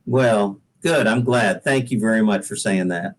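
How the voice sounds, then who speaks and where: A man's voice: on the low side, a little slow. An American man being interviewed as a guest musician. He takes his time and talks in an earnest, easygoing way, as if thinking through what his work is for. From Pacific Northwest, United States. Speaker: guest singer-songwriter. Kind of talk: casual interview chat.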